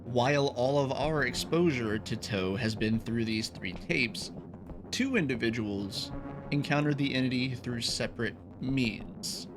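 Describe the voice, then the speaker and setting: A man's voice: medium pitch, lively intonation, measured pace. A man hosting a video essay, reading a scripted, analytical explanation. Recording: voice over background music. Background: background music.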